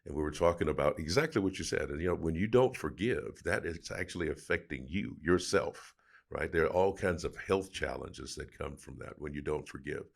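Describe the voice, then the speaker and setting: Male host, very deep, gravelly, warmly affirming. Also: expressive, a little fast. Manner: affirming, admiring interjections. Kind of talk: interview chat.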